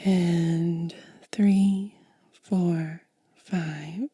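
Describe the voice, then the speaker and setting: A woman whispering in soft-spoken ASMR style, gently and unhurriedly explaining each step close to the microphone. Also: on the low side, steady tone, extremely slow, dry recording. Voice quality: breathy whisper. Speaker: ASMR content creator.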